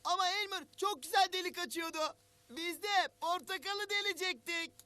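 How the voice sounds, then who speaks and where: A woman voicing a playful, eager little child in a cartoon, with an excitable way of talking. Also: very high-pitched, moderate pace, no echo. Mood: sheepish, eager. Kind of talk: cartoon voice acting.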